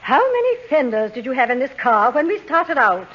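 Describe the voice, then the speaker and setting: A dull old radio recording features a genteel society lady. Her very high voice swoops about in an affected, nervously polite flutter, in forties comedy style. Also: very animated, normal speed. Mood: tactful, hesitant. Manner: affected, hesitant, tittering. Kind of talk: 1940s radio comedy acting. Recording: dull 1940s radio recording with faint noise.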